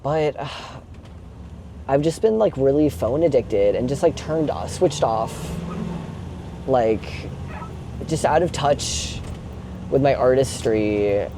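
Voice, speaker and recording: Young man vlogging over clear road noise. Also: medium pitch, expressive, slow, long pauses. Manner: informal, sweary, rambling with frequent "like". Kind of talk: casual confessional chat while driving. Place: inside a moving box truck. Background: engine and road noise.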